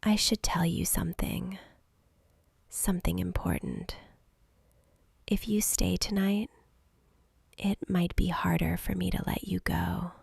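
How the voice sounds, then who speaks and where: A woman's voice: lowish voice, steady tone, creaky, slightly rough, dry recording, exaggerated voice. A woman voicing a lonely, centuries-old vampire girl in an intimate ASMR roleplay, whispering breathily and close to the mic with tender, hesitant longing. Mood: tender, wistful. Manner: slow, intimate, hesitant pleading. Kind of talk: whispered soft-spoken ASMR romantic roleplay.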